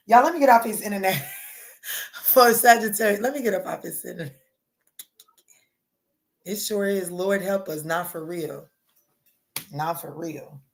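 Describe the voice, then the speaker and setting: Raspy woman chatting on a livestream. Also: mid-range voice, measured pace, long pauses. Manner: chatty, loose and playful, greeting viewers by name. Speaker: tarot reader and livestream host. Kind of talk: casual livestream chat with viewers.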